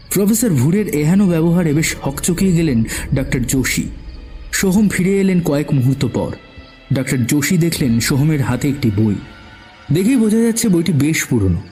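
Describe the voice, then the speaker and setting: A man's voice: on the high side, dark tone. A man narrating a scripted suspense story as the narrator of an audio drama.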